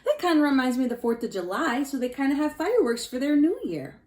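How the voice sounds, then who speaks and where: A woman's voice: high voice, sing-song, quick pace, darkish tone. A woman teacher reading a picture book aloud to young pupils, stopping to explain things in simple words and put questions straight to the children. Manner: simple explanatory phrasing, addresses children directly, emphasizes key words.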